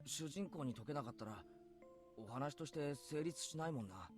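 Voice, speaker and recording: An earnest teenage boy played by a man in a visual game, speaking very rapidly in a youthful, higher voice. He is eager and casual, with music playing behind him. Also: expressive, close to an everyday voice. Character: teenage boy, earnest son questioning his mother. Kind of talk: Japanese visual novel voice acting.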